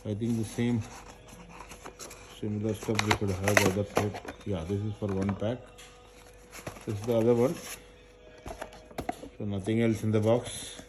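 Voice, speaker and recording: A man doing a casual, unscripted product unboxing, haltingly thinking aloud as he goes. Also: on the low side, flat delivery. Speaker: unboxing presenter. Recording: noisy recording with crinkling packaging. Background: handling and crinkling of packaging.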